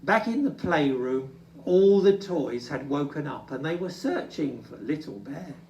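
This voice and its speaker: Expressive storybook reader, unhurried and dramatic, recorded at some distance in an echoing room. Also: medium pitch, a little hiss or hum. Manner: dramatic, drawn-out emphasis. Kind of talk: expressive children's story reading. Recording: roomy, distant microphone.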